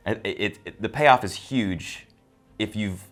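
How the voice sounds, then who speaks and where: A man with a very low-pitched voice talks in a casual, conversational review style. He comes across as an enthusiastic fan sharing his take with a co-host. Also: slow, fairly bright tone. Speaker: co-host of a film review show. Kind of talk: casual movie discussion.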